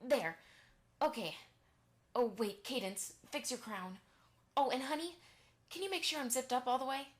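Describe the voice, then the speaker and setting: A woman playing a bustling, bossy, excitable pony mother in an amateur audio drama, brisk and fussing. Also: high-pitched, lightly acted. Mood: fussy, hurried.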